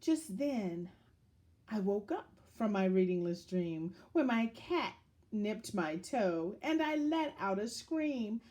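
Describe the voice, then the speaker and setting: A woman reading a children's picture book aloud in a dramatic, deliberate storytelling manner, as if to young listeners. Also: medium pitch, lively intonation, dark tone.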